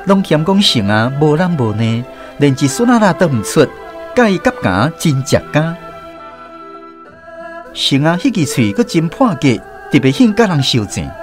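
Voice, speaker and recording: A middle-aged man tells a radio story in a folksy manner with a Taiwanese accent. His fairly high voice rises and falls widely, over clear background music. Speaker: drama narrator. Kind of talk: first-person scripted storytelling for radio drama.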